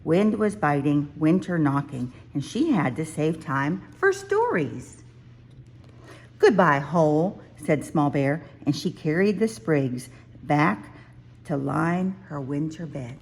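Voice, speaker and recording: Slow-reading woman storyteller, slightly low. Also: very animated, darkish tone, breathy edge. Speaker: children's book reader. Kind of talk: scripted storybook narration.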